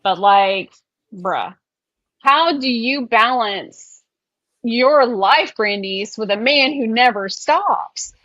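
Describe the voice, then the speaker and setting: A woman's voice: a little high, lively intonation, slow pace, a bit bright, dry recording, lo-fi sound. A woman with a Southern US accent hosting a casual chat over a video call, chatty and full of y'alls. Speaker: podcast host.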